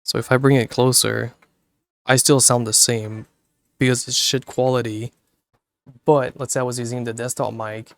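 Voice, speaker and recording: Man, casual rambling chat, swears a lot, chuckles, smooth clean voice, cheap wireless headset mic. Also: mid-range voice, moderate pace, pauses often, no room sound. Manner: relaxed and rambling, swears freely, chuckles mid-sentence. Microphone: cheap wireless VR headset mic held far from the mouth.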